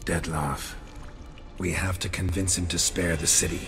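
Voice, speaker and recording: A man playing a weary, stoic monster hunter in a video game, gruff, blunt and laconic. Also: steady tone, unhurried, music in the background. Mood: grim, persuasive. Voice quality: low, gruff. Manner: restrained, laconic. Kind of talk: dramatic video game acting.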